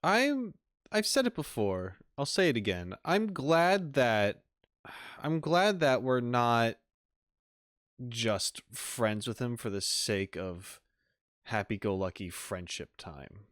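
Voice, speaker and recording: A man's voice: mid-range voice, big pitch swings, takes it slowly, a bit bright, no echo, studio quality. A man commentating casually on a game as a streamer, full of joking, mock-outraged asides. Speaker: gaming streamer.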